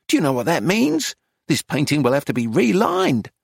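A woman's voice: low voice, huge pitch swings, normal speed, gravelly, darkish tone, slightly rough, dry recording, studio quality, full character voice. A man voicing a bossy, anxious woman art dealer who scolds and presses with demanding questions in a story reading. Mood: angry, dismayed.

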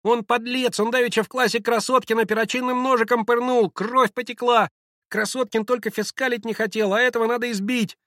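A man voicing jeering, excitable schoolboys of about eleven in an audiobook, shouting and taunting. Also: very high voice, a little fast, dry recording, studio quality, pitched above the performer's own voice. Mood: indignant.

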